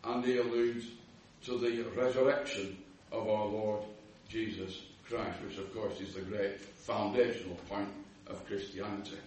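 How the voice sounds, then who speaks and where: A man's voice: lowish voice, steady tone, unhurried, a little mellow, a little hiss or hum. A man with a strong Lancashire accent, explaining scripture earnestly in a Bible study discussion, often repeating words as he goes. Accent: Lancashire English. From Lancashire, England. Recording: echoey room from far off.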